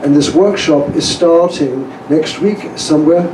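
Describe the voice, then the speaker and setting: A man from outside the United States with a fairly high voice gives an informal speech of praise. His phrasing is halting and emphatic, picked up from a distance in an echoey room with clear noise. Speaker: workshop student praising the host. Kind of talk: informal testimonial speech to an audience. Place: echoey room at a live event.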